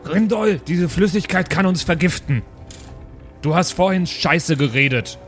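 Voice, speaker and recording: A man's voice: a little high, animated, measured pace, soft background music. A man playing a tabletop role-playing game, casually saying what his character does. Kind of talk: casual live tabletop play, speaking in character.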